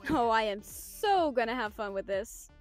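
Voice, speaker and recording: A woman's voice: on the high side, lively intonation, normal speed, faint music behind, lightly acted. A woman playing a mischievous young partygoer with morbid humour in an audio drama. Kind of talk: naturalistic audio drama acting.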